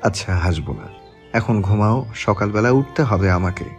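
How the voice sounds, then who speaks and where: Man acting deep-voiced, self-assured young politician. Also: expressive, moderate pace, soft background music. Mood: soft. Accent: Bangladeshi. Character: young male politician, tender husband, coolly menacing to rivals. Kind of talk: romantic audio story acting.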